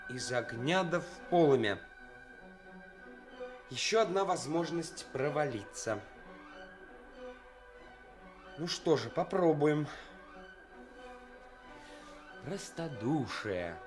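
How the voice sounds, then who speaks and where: An actor playing a nervous, self-mocking young stage actor in a radio play, theatrical and wry. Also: male voice, on the high side, animated, unhurried, music in the background. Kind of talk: 1980s Soviet radio play acting.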